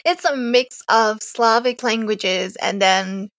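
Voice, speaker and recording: A woman's voice: fairly high pitch, slow, a bit bright, no room sound. A young woman from Serbia, a native Serbian speaker, chatting as a podcast guest, hesitant and often laughing. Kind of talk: casual interview chat.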